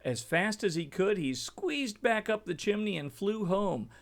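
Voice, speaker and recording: A man narrates a children's storybook at an unhurried pace. His delivery swings widely in pitch, with an animated, expressive read-aloud feel. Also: vocal fry. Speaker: storybook reader.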